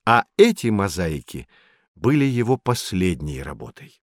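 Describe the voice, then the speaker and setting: A man playing a patient, earnest guide who explains things to children with deliberate emphasis in a scripted educational audio drama. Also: sits low, very animated, no room sound, clean studio sound, full character voice. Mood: explaining, patient.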